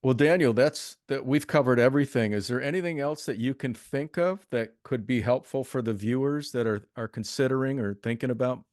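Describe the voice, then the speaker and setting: A man hosting an interview, affirming his guest and asking questions in a steady, agreeable way. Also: mid-range voice, a little fast, few pauses, no echo, clean studio sound. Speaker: interview host. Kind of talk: casual conversational interview.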